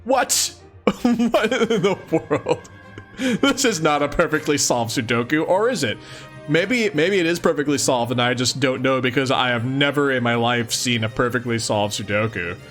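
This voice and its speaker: A man's voice: mid-range voice, animated, normal speed, fairly bright tone, faint music behind. A man reading aloud and commenting casually, with an amused, exclaiming, playfully sarcastic manner. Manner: amused, exclaiming, often chuckling. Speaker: host of a let's-read series.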